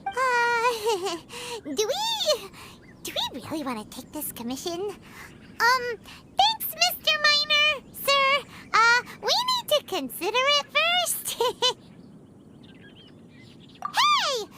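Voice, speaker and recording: Squeaky, very high-pitched little fairy. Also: female voice, wildly swooping pitch, slow pace, very noisy, exaggerated voice. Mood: nervous, protesting. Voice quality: squeaky, childlike. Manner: refers to self in third person, exclaims, stretches words. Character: small excitable childlike female fairy companion, chirpy and timid. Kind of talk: video game voice acting. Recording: game music behind the voice.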